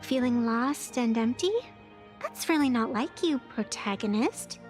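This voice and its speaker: A woman's voice: on the high side, lively intonation, measured pace, darkish tone, faint music behind. A woman voicing a playful, teasing young woman in a video game, theatrical and cryptic in manner. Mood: teasing, welcoming.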